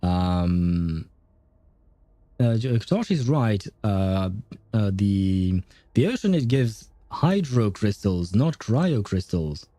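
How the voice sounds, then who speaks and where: A man's voice: fairly low pitch, big pitch swings, slow, dark tone, no echo. A man livestreaming a game, giving casual commentary and chatting with his viewers.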